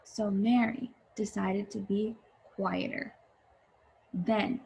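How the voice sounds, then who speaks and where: A woman teacher reading a picture book aloud to young viewers, with deliberate, expressive phrasing. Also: mid-range voice, vocal fry, poor audio. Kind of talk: children's read-aloud, addressing young viewers.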